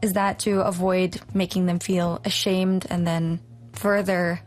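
A woman hosting a morning talk show, calmly asking questions and reading listener messages. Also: on the low side, a little slow. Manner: calm, asks questions and reads out listener messages. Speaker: host.

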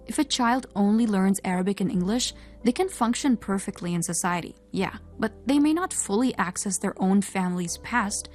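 Female podcast co-host from Oman, articulate and composed, mid-pitched, soft music underneath. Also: expressive, moderate pace, hardly any pauses. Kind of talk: conversational podcast discussion.

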